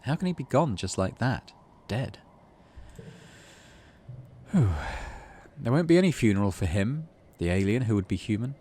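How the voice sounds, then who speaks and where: A man's voice: medium pitch, expressive, moderate pace, long pauses, mellow, a little hiss or hum. A British man hosting a gaming playthrough, reading game text aloud and chatting casually about it. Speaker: gaming channel host.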